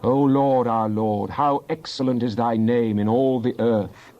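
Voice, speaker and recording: A man performs a fervent psalmist addressing God in a dramatized scripture production. The delivery is solemn and declamatory, at a medium male pitch. The recording sounds a little dull, with limited top end. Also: steady tone, a little slow, close to an everyday voice. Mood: exalted praise. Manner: solemn, declamatory, archaic diction. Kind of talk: dramatized King James Bible reading.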